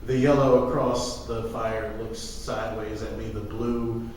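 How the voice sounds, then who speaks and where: Male podcast co-host, casual and animated with some profanity, recorded off-mic with faint background noise. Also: mid-range voice, a little slow. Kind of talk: casual chat about games and movies. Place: reverberant room, away from the mic. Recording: echoey hall, distant mic, faint noise.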